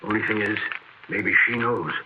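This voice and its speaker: An actor playing an earnest, superstitious, folksy pal who talks insistently in a 1940s radio horror drama, on an old broadcast recording. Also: male voice, on the low side, fairly even intonation, normal speed, narrow, tinny audio, heavy background noise, close to an everyday voice. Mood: grave.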